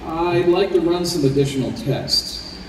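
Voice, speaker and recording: A man's voice: on the high side, measured pace, close to an everyday voice. Man playing grave doctor in an echoey hall. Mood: concerned. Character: kindly, grave male doctor in his fifties. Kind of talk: old time radio acting. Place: convention hall stage. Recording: echoey hall, distant mic, faint noise.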